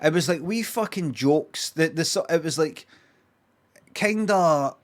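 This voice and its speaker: Higher-voiced Glaswegian man chatting, sweary. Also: lively intonation, a little slow. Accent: Glaswegian Scottish. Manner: sweary, emphatic, repeats himself. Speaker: livestreamer. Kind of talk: casual, rambling livestream chat.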